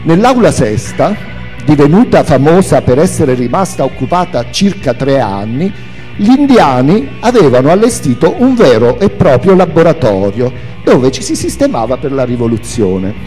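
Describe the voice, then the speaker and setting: A man reads a memoir aloud in a theatrical, expressive way. His voice is fairly high and swings widely in pitch, with a somewhat soft, mellow tone. Music plays faintly behind him. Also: normal speed. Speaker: reader of a memoir. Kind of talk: dramatic literary reading aloud with music.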